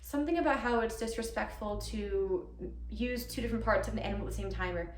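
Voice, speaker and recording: A woman's voice: medium pitch, fast, close to an everyday voice. A woman playing an earnest, nervous young girlfriend who rambles and corrects herself in a theatrical monologue. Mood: chatty, wistful. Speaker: actor performing a monologue. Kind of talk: theatrical comic-dramatic monologue. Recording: roomy, distant mic with background hiss.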